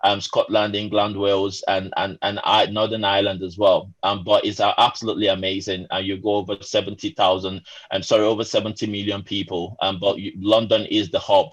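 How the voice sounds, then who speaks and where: Nigerian-born guy in his 40s, earnest podcast guest, lots of ums, lowish voice, muffled call audio. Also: level tone, brisk, hardly any pauses. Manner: earnest, many fillers and restarts. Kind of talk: conversational interview answer.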